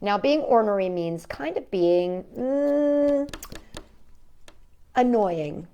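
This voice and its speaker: A woman's voice: a little high, lively intonation, drawn-out words, dark tone, highs cut off, slight background noise. A woman hosting a children's storytime, reading aloud and chatting playfully with young listeners, often asking them questions. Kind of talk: children's picture book read-aloud with chatty asides.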